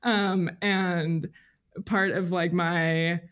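A woman's voice: on the low side, steady tone, extremely slow. A woman being interviewed as a podcast guest, talking reflectively and informally, thinking aloud with lots of "like" and "you know.". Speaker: podcast guest, freelance journalist.

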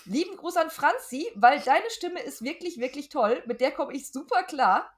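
A woman's voice: sits high, animated, moderate pace. A middle-aged woman, chatting casually and frankly as a podcast guest, with emphatic wording. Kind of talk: casual chat.

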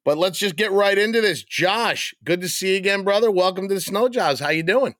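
A man's voice: high-pitched, brisk, no echo, studio quality. Upbeat host man losing his voice. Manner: upbeat, loud and excited. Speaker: podcast host. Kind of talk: casual chat.

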